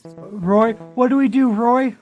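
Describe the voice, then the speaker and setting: A man's voice: extremely high pitch, takes it slowly, muffled recording, faint music behind. An actor playing a timid, anxious young male tagalong in a comic radio drama, nervous and meek.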